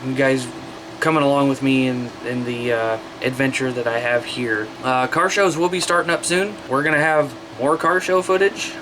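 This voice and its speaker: A man's voice: mid-range voice, noisy background. A man from the US Midwest chatting casually to viewers as a hobbyist, rambling and plain-spoken while he demonstrates things. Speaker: hobbyist vlogger.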